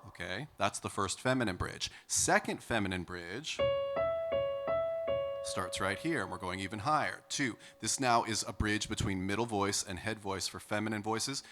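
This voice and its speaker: A man with a baritone speaking voice, teaching a singing lesson and counting students in with encouragement. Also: moderate pace, a bit bright. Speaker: vocal coach. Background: piano.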